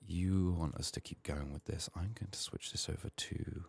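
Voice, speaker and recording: A man softly narrating his video game in an ASMR style, musing to himself in a hushed, slightly strained, under-the-weather voice. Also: deep voice, fairly even intonation, moderate pace, gravelly, husky. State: sick, strained voice. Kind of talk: soft-spoken, partly whispered ASMR game commentary.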